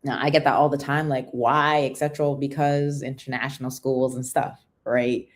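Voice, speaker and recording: A woman's voice: a bass voice, flat delivery, moderate pace. A young woman with an American accent, raised in the UK, hosting a casual sales livestream, chatting, greeting viewers and often asking "right?". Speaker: livestream host.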